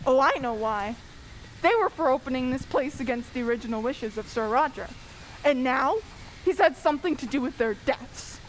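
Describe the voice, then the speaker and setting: A woman playing a nervous, superstitious young male helper in a radio play, jittery and excitable. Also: sits high, moderate pace, lightly acted, rough recording. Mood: anxious. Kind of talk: old-time radio drama acting. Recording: faint background noise.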